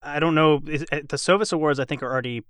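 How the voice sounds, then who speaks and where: A man with a moderately high-pitched voice hosts a relaxed panel discussion. He rambles a little, adding qualifications as he talks. The sound is close and dry, with very little room on it. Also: racing, clear, resonant tone, dry recording. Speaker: podcast host and voice actor. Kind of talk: casual podcast panel chat.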